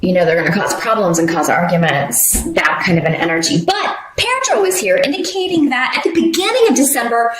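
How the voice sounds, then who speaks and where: A woman's voice: medium pitch, lively intonation, brisk, hardly any pauses, fairly bright tone, reverberant, a little hiss or hum, low-quality recording. A woman giving a casual tarot reading, rambling with many fillers and restarts. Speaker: tarot reader.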